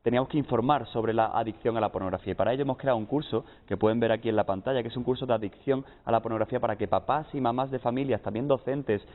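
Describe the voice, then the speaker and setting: A man's voice: medium pitch, very fast, hardly any pauses. A man from Spain with a Spanish accent, a guest psychologist explaining his points on a TV panel, often tagging "¿no?". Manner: explanatory, lists points with frequent "¿no?". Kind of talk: TV panel discussion. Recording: tinny lo-fi broadcast audio.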